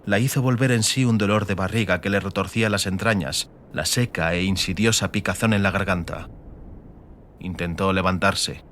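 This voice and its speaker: A man reading scripted dramatic audiobook narration in a measured, literary manner. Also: sits low, a bit bright. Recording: faint ambient noise behind.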